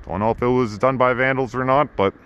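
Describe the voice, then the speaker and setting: A man's voice: medium pitch, fairly even intonation, fast, fairly bright tone. A man in his thirties rambling casually to camera as an exploration vlogger, with informal slang. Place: outdoors in snow and inside a car. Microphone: handheld camera at arm's length. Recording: dull lo-fi camera audio, clear background noise.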